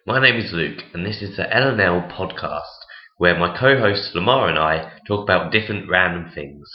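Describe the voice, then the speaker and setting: A young man with a very deep, low voice hosts a casual podcast chat. He talks hesitantly and fills his pauses with ums. The sound is echoey and dull, like a lo-fi home recording. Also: lively intonation. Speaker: podcast host.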